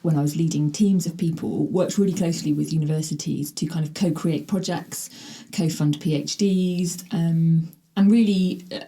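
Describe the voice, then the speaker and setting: Woman in her 40s on a podcast, lots of ums, mellow lowish voice. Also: fairly even intonation. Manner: hesitant, halting, many filler sounds. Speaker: podcast guest. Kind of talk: casual interview. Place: podcast studio.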